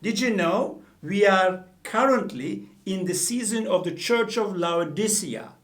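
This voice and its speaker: A man's voice: high-pitched, animated, measured pace, distant mic. A middle-aged man from Turkey with a Turkish accent, teaching the Bible earnestly to the camera from a small studio room, often repeating and correcting himself. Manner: earnest, gestures with both hands, repeats and self-corrects.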